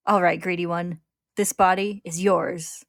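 A woman acts a young prince full of pride and fierce resolve in a dramatic tale. He pushes his words out loudly, strained and stubborn. The sound is close and dry. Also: fairly low pitch, flat delivery, unhurried, no echo. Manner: emphatic, strained, forceful.

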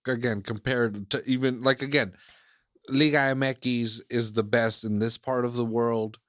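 A man's voice: medium pitch, steady tone, moderate pace, fairly bright tone, no echo. Rambling man from Iceland, dry sound. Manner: rambling, circles back with "again" and "like". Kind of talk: casual chat.

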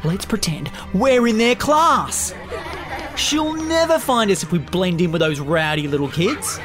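A woman playing a silly, cheeky, excitable children's show host, chatty and theatrical in a scripted podcast. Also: mid-range voice, a little slow, over music, natural voice. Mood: playful, explaining. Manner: exclamatory, theatrical, chatty to young listeners.

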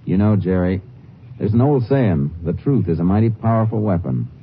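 An actor playing a steady, dogged Texas Ranger who narrates and questions suspects in a firm, measured way in a 1950s radio drama. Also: male voice, low-pitched, slight background noise. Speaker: narrator and lead character. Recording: tinny old radio broadcast.

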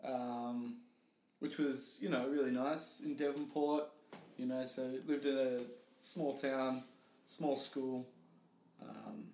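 A man with an Australian accent, a guest telling his story in casual interview chat, relaxed and hesitant with many fillers. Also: medium pitch, takes it slowly, a little hiss or hum. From Tasmania, Australia. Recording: roomy echo.

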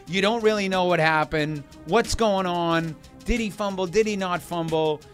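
A man speaks conversationally in an interview, musing aloud. His voice is a little high, with faint music behind it. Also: moderate pace. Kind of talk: documentary interview.

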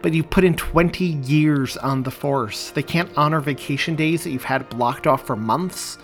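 A man's voice: a little high, moderate pace, fairly bright tone, soft background music, voice pushed up. A man reading aloud as a wife and mother who grows irate and hurt, her voice near breaking. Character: upset woman, wife and mother.

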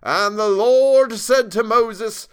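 A wrathful preacher from an audiobook tale. He bellows scripture slowly and high, drawing out each word with thundering declamation. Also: clean tone.

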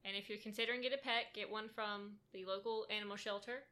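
Soft-spoken woman with a bright voice. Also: medium pitch, steady tone, fast talker. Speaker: podcast co-host. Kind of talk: casual chat. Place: kitchen table in an apartment.

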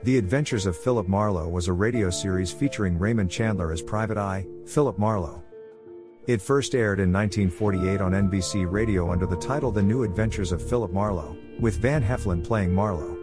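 An American man with a low voice introduces a vintage radio podcast. He reads a scripted announcement as host, with music clearly playing behind him. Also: a little fast.

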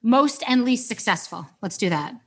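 A woman with a fairly high voice hosts an interview. She chats casually and upbeat, with quick interjections. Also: animated, slightly rough. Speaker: podcast host.

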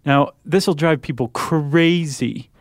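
A man's voice: fairly high pitch, takes it slowly, no echo, clean studio sound. An American man co-hosting a podcast, explaining things in a casual, conversational way with wry, joking asides. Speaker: podcast co-host.

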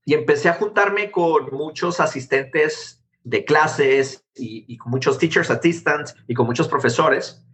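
Guatemalan man, thirties, lively storyteller, slightly high bright voice. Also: slight background noise. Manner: animated, quick, jumps between anecdotes. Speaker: interview guest, tech founder. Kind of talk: conversational storytelling.

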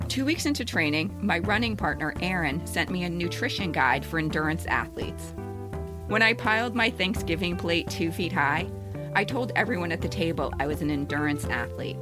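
A woman's voice: fairly low pitch, animated, normal speed, over music. A woman in her fifties reading a scripted personal story as a podcast co-host. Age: 50s.

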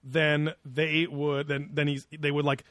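Co-host, man, higher-pitched, rambling and profane, dry close-mic sound. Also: level tone, a little slow, fairly bright tone, no echo. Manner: rambling, laughs often, swears casually. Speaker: podcast co-host. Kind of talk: casual chat.

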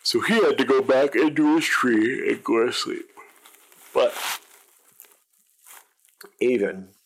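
A man's voice: fairly low pitch, fairly bright tone, husky, poor audio. A man narrating an improvised bedtime story for children, with simple wording and emphatic repetition.

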